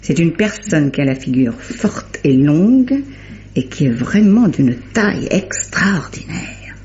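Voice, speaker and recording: A woman's voice: sits low, sing-song, takes it slowly, darkish tone, heavily acted. Shrewd lady detective, articulate, self-assured, old radio drama, dull faintly noisy recording. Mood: confident, explanatory. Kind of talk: old-fashioned French radio drama acting.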